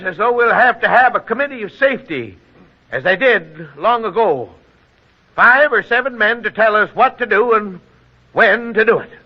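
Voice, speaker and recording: High-voiced, earnest town leader. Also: male voice. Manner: deliberate, orderly, reasoning. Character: earnest, steady small-town leader chairing an emergency meeting. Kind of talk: 1940s radio drama acting. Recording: muffled old radio recording.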